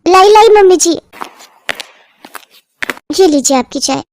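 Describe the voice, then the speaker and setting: A woman's voice: extremely high pitch, expressive, takes it slowly, a little mellow, a little hiss or hum, pitched above the performer's own voice, heavily acted. A woman voicing an overworked, resentful young daughter-in-law who grumbles in a whiny way. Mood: harried. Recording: background music under the voice.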